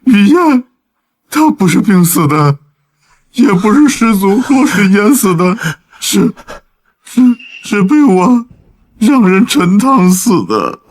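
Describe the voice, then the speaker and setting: Slow, cowardly old village chief. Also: male voice, high voice, expressive, darkish tone. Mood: guilty, broken confession. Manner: pleading, halting, faltering. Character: guilty, cowardly village chief, begging and confessing. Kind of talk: dramatic audio drama acting.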